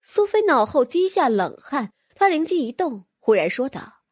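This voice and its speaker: A woman's voice: high-pitched, sing-song, moderate pace, darkish tone, dry recording, clean studio sound. A woman reading scripted fantasy fiction expressively as an audiobook narrator.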